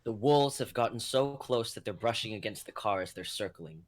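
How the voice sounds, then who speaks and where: A young man serves as narrator of a scripted drama. His voice is fairly low as he reads out dramatic action. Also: expressive, moderate pace. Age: young adult.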